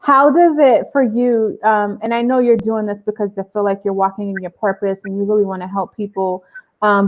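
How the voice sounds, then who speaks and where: Woman therapist hosting, dull lo-fi audio. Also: mid-range voice. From Boston area. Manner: warm-up chatter with many fillers. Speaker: therapist and live show host. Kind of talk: casual live video interview.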